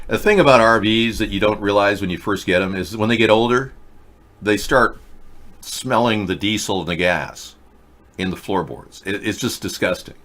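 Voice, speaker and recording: A man hosting a political talk show, pitching ideas in an earnest, rambling monologue. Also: normal speed, fairly bright tone. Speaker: host.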